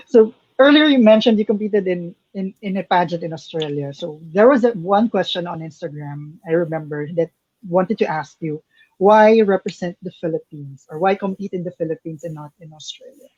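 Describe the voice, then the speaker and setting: A woman hosting an interview, asking questions in a halting way with frequent restarts. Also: on the low side, animated, a little mellow, slightly rough, dry recording, dull audio. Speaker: interview host.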